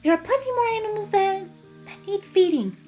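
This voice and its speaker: Sweet storybook pony, woman acting her: high, hushed, breathy, kindly and patient but firm, over faint music. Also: animated, brisk. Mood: softly insistent. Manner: tender, coaxing. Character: gentle, soft-spoken female pony, kindly but quietly firm.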